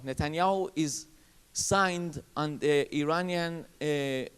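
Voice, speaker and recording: A man's voice: a little high, smooth. Emphatic man in a reverberant hall. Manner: emphatic, repetitive, halting phrasing. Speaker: panelist. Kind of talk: panel discussion.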